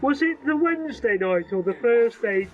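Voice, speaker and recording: High-voiced man, muffled, chatting on a commentary. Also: animated, normal speed, darkish tone, faint music behind. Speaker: participant on a cast commentary. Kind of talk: casual film commentary chat. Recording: muffled, dull lo-fi sound.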